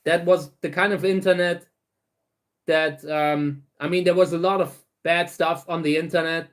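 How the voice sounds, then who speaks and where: A man hosts a relaxed livestream and chats with his viewers. His voice sits fairly high for a man. He rambles along at an easy pace, often restarting words. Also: normal speed. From Germany. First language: German. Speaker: host of a livestream channel. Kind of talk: casual livestream chat, answering viewers.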